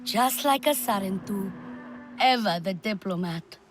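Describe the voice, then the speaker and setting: A woman's voice: medium pitch, lively intonation, unhurried, a little hiss or hum, lightly acted. An actress playing a wary, proud female alien forest warrior in a video game, with a terse, guarded delivery. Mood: guarded, suspicious. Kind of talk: video game character acting.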